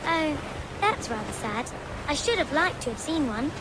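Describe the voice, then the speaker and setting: Young English girl, game cutscene: candid, inquisitive, animated high pitch, noisy sea backdrop. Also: normal speed. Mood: playful curiosity. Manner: inquisitive, precise, matter-of-fact. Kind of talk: naturalistic video game cutscene acting. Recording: noisy wind, waves and creaking ship.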